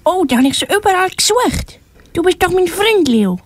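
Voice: very high-pitched, very animated, moderate pace, no echo. A young female performer playing Max, a kind and generous little boy in a children's audio play. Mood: relieved.

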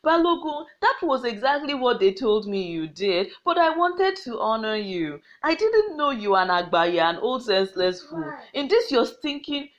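A woman's voice: mid-range voice, animated, a little slow, natural voice. Woman doing a wailing old nigerian mom begging her ancestors, muffled lo-fi sound. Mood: mocking. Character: grieving old village mother, fierce and proud. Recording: slightly muffled lo-fi recording.

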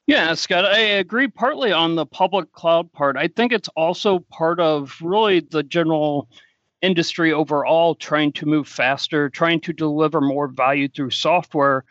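A man speaking as a guest in a podcast interview, explaining his points in a steady way. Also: on the high side, moderate pace, no echo, highs cut off. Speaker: guest, cloud architect.

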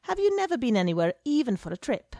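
A woman voicing a loud, worldly male journalist who brags jovially, in a dry recording. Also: a little high, big pitch swings, fast talker, no echo, voice pushed up. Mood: swaggering. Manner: brash, swaggering, jovial. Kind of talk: audiobook character reading.

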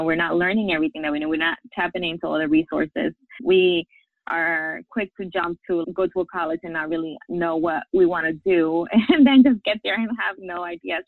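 A woman's voice: fairly low pitch, dry recording. Upbeat woman on a tinny phone line. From Puerto Rico. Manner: upbeat, laughs often, reflective. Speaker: podcast guest, life coach. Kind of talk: casual interview answers.

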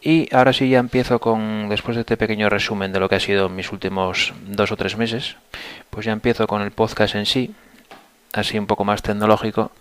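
A man hosting a casual solo podcast, hoarse from a flu, talking in a relaxed, rambling way with many fillers and restarts, recorded on a headset mic at his computer. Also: lowish voice, fairly quick, no echo. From Asturias, Spain. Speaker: podcast host.

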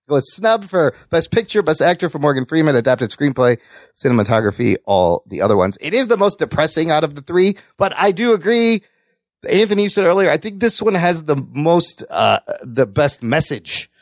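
A man's voice: a little high, expressive, normal speed, no room sound. A man hosting a casual film discussion, talking enthusiastically and swearing freely. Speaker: podcast host.

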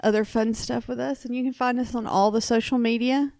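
A woman's voice: on the high side, steady tone, a little fast, mellow, dry recording. Woman, forties, podcast co-host, tired late-night chat, casual and filler-heavy, dry recording. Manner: chatty, laughing often, trailing off with fillers.

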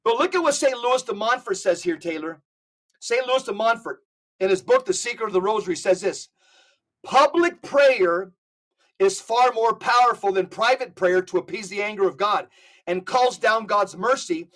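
A man's voice: high voice, expressive, moderate pace, a bit bright, poor audio. Emphatic man talking like a preacher. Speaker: podcast guest. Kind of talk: impassioned religious talk with read-out quotations.

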